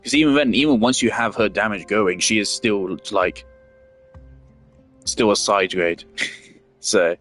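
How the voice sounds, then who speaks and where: A young man giving casual, opinionated game commentary, blunt and sweary, pausing as he thinks. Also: fairly low pitch, a bit bright, faint music behind. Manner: blunt, sweary, hesitant phrasing. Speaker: game streamer.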